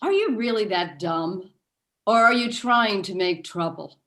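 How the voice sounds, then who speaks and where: A medium-pitched voice, curt and disapproving, for a self-righteous suburban neighbour acted by a woman. She is stern and sharp-tongued, in a staged reading over a video call. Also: lively intonation, a little slow. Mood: scornful.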